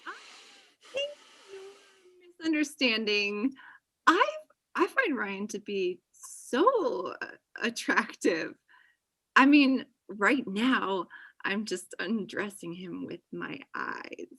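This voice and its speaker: Bright-voiced, blunt young woman friend, acted. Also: mid-range voice, very animated, slow, slightly rough, full character voice. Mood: awkward, insistent. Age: young adult. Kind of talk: acted pilot table read, naturalistic. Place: small room at home, video call. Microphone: computer video-call mic.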